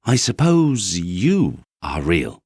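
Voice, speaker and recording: A man's voice: sits low, huge pitch swings, slow pace, a little mellow, slightly rough, no echo, studio quality, exaggerated voice. A man voicing a shy, naive little toy rabbit in a bedtime story, asking innocent, hesitant questions. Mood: tentative.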